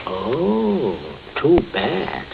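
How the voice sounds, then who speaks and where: A man's voice: a little high, wildly swooping pitch, very slow, creaky, heavily acted. An actor playing a stern, scheming middle-aged father in 1940s radio drama, with a clipped, commanding manner. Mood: nervously jovial. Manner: clipped, commanding, interrogating, false joviality with guests. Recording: muffled, noisy old 1940s radio recording.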